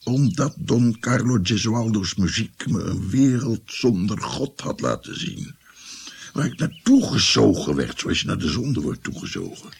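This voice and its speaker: A haunted old doctor looking back in a radio play. The voice is very low and badly husked, full of gravel. The telling is reflective and halting, swinging dramatically. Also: male voice, big pitch swings, heavily acted. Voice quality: gravelly, husky.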